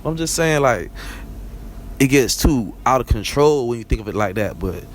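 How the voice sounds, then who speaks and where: A man's voice: medium pitch, lively intonation, normal speed, slight background noise. A young man with a Barbadian accent hosting a rambling, conversational solo talk and often asking "you know what I'm saying?". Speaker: vlog host. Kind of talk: casual solo monologue.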